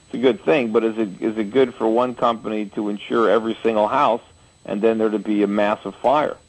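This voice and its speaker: A man's voice: on the low side, steady tone, a little fast, poor audio. A man in his forties hosting a call-in radio show, answering callers at length with homely analogies and frequent restarts. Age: 40s.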